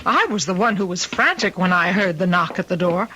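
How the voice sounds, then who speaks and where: A woman's voice: lowish voice, lively intonation, a little fast, sharp tone, a little hiss or hum. An actress playing an anxious, doting middle-aged mother in an old-time radio drama, speaking in an earnest, fretful way. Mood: concerned, relieved.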